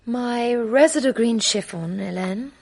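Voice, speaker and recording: A woman's voice: on the high side, unhurried, gravelly, breathy edge, heavily acted. A woman playing a young genteel lady breezily giving her maid instructions in a radio drama. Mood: breezy.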